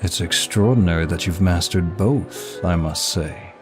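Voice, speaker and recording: A man playing a suave, seductive demon of lust in an intimate audio roleplay, flattering the listener in a formal, flirtatious manner. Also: deep voice. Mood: curious, flirtatious. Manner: smooth, flirtatious, formal, unhurried. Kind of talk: intimate ASMR-style roleplay, one side of a dialogue. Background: music.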